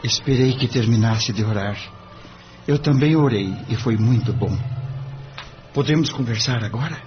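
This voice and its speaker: An actor with a Brazilian accent playing an earnest young lawyer, polite, formal and gently probing in an audio drama. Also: male voice, mid-range voice, moderate pace, music in the background, natural voice. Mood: gentle, respectful. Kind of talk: audio drama acting in Portuguese. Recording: dull lo-fi audio with clear noise.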